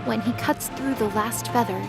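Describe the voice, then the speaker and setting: A woman reading scripted dramatic story narration in a measured, descriptive storytelling manner. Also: on the high side, vocal fry, loud music behind the voice.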